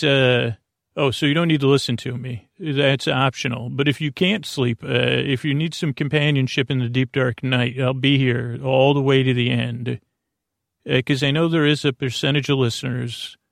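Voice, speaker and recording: A man's voice: mid-range voice, gravelly, dry recording, clean studio sound. A man hosting a bedtime podcast, rambling through a drowsy intro full of fillers and playful tangents. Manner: meandering, goes off on tangents and wordplay, many fillers. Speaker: podcast host.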